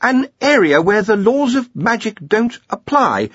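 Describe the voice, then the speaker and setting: A jittery young wizard scholar, put on by a male narrator speaks high and haltingly. He is deferential and anxious, with lively swoops and a husky grain. The close, dry audio is a touch muffled. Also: no room sound, exaggerated voice. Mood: hesitant, deferential. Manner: hesitant, anxious, mumbling, polite.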